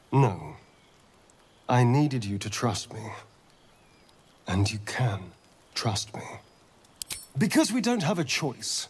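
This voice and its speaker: A man's voice: mid-range voice, breathy edge, heavily acted. An actor playing a suave, needy male vampire in a video game, with a theatrical, coaxing, hesitant delivery. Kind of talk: video game character acting.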